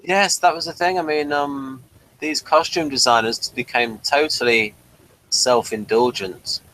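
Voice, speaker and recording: A man's voice: mid-range voice, steady tone, a little slow, sharp tone, a little hiss or hum, poor audio. A man with a slight speech impediment, hosting a casual review chat, reading a synopsis with hesitant fillers and self-corrections. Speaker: podcast host reading the synopsis.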